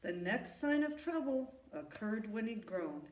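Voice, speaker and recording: A woman reading a rhyming children's story aloud in an expressive, emphatic storytelling manner. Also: medium pitch, measured pace. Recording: echoey room, distant from the mic.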